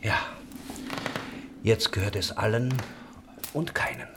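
Worldly craftsman idealist, low pitch, musing, knowing, talkative, historical radio drama, noisy backdrop. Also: slow pace, gravelly. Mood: earnest, idealistic. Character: worldly, philosophical roofer, idealistic leader of religious commune.

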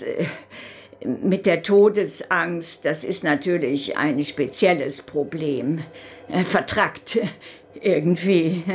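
An actress playing a well-meaning, awkward amateur therapist in a radio play, halting and rambling. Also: female voice, mid-range voice, lightly acted.

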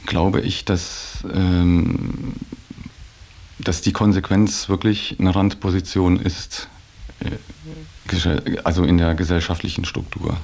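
A man's voice: deep voice, flat delivery, slow pace, gravelly, darkish tone. A man talking reflectively and off the cuff in an interview, hesitant and groping for his words. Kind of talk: reflective unscripted talk.

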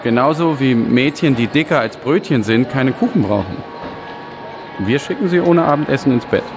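A man's voice: medium pitch, expressive, normal speed, natural voice. An actor playing an exhausted, embittered father, declaiming sternly in a radio drama. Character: exhausted, embittered father in a debt-ridden household. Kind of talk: stylised radio drama monologue. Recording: clear background noise.

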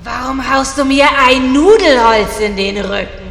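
A woman's voice: sits high, a little slow, vocal fry. A woman playing a haughty, easily angered dragon lady in a parody fantasy radio play. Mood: furious. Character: haughty dragon lady boss, part dominatrix, easily enraged. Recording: roomy hall reverb, faint noise.

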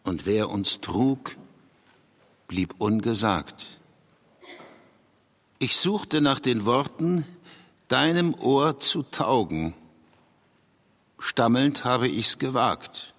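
Male for taciturn writer, radio play; husky, slow and halting, heard in long hall reverb. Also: medium pitch, sing-song, a bit bright, full character voice. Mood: solemn, intense. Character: male writer, taciturn, hesitant, brooding poet. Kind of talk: radio drama, literary reading and interview.

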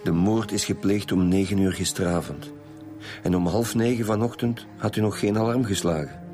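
An actor as a low, stubborn Flemish detective. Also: male voice, steady tone, moderate pace, close to an everyday voice. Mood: probing. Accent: Flemish Belgian. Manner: blunt, sceptical, argumentative. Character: suspicious, stubborn male police detective, gruff and grumbling. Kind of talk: radio drama acting. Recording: music behind the voice.

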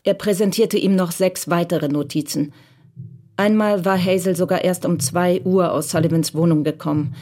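A woman's voice: on the low side, steady tone, moderate pace, mellow, lightly acted. A woman narrating a crime radio drama as a composed, detached storyteller. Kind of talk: scripted literary narration in a radio play.

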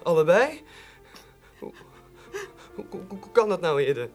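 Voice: medium pitch, very animated, slow pace. A shocked young boy in a radio play, stammering and disbelieving. The voice rises and falls widely over faint music. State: distressed, stammering. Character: shocked, bewildered bereaved boy. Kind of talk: radio drama acting.

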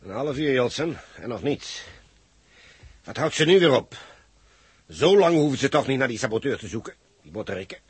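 An actor playing an irritable, impatient ground-control boss in a 1960s radio drama, gruff and exasperated. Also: male voice, mid-range voice, lively intonation, moderate pace, long pauses, no room sound.

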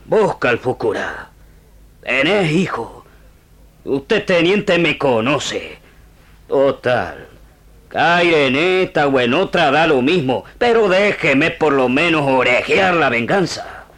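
An actor playing an old, wounded, grieving frontier sergeant with rural Argentine gaucho speech in a radio drama. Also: male voice, on the high side, very animated, slow pace, fairly bright tone, exaggerated voice. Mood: bitter, vengeful. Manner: emotional, rustic gaucho speech.

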